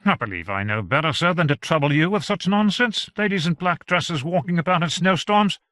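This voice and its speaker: A man's voice: a little high, animated, brisk, bright, forceful tone, no room sound, clean studio sound, voice pushed up. A man voicing a prim male servant who speaks stiffly and with mild scorn, in a storybook reading.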